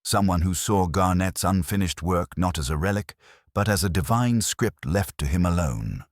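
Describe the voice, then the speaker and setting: A man voicing a keen, brooding master detective in an audio story, explaining in a deliberate, theatrical manner. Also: low-pitched, expressive, dry recording, studio quality. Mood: dramatic, assured.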